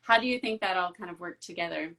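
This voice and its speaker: A woman hosting a live-stream interview over a video call, praising her guests warmly and asking friendly questions. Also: on the low side, fast. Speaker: host.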